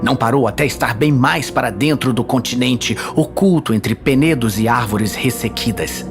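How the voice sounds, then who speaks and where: A male narrator with a Brazilian accent tells a dramatic fantasy story. His pitch sits in the middle for a man. Soft music plays faintly underneath the scripted reading. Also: moderate pace.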